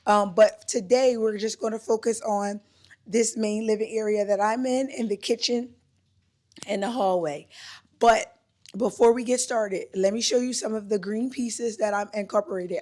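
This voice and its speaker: A woman vlogging casually from her home, her voice still hoarse from a recent cold, chatty and upbeat with frequent "like" and "um". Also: mid-range voice, darkish tone. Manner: chatty, upbeat, says "like" and "um" often. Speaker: lifestyle vlogger. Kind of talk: casual chat to camera. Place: at home, indoors.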